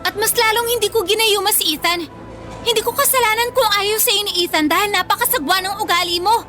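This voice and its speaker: High, girlish Filipina schoolgirl. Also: brisk, bright, forceful tone, soft background music. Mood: defiant. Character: sincere, plucky bullied teenage schoolgirl in love. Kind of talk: Filipino radio drama acting.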